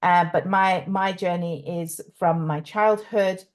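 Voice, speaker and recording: A middle-aged woman from South Africa, a coach and author, talking in a casual interview with many pauses and restarts. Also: on the low side. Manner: halting, many ums and restarts, laughs often.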